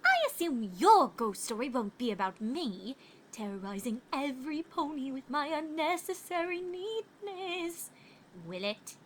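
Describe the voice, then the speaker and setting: A woman's voice: sits high, very animated, unhurried, slight background noise. A woman voicing a refined, dramatic young female unicorn pony in a fan fiction reading, with a genteel, theatrical manner. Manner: theatrical, genteel, calls others darling. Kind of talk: fan fiction character voice acting.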